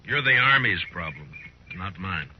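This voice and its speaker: Plain-spoken frontier marshal, 1950s radio western. Low, world-weary, clipped lines; muffled archival sound, slight noise. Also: male voice, lively intonation, a little slow. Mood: wary, measured. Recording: dull, faintly noisy 1950s radio recording.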